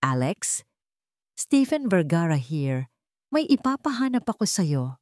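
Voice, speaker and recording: A woman's voice: on the low side, sing-song, takes it slowly, a little mellow, no echo, clean studio sound. A woman voicing a determined young wealthy businessman in a story, terse and businesslike as he gives orders. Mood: urgent, determined.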